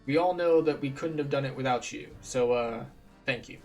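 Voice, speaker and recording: A man voicing a friendly, rustic, uneducated village quest giver in a video game, with animated folksy speech. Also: medium pitch, moderate pace, lo-fi sound, soft background music, lightly acted. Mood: earnest.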